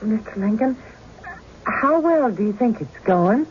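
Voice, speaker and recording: A woman's voice: fairly high pitch, expressive, a little slow. An actress playing an anxious, indignant frontier woman with a folksy, fretful manner in a radio drama. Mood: polite, concerned. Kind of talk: 1970s radio drama acting. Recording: muffled, noisy old radio broadcast.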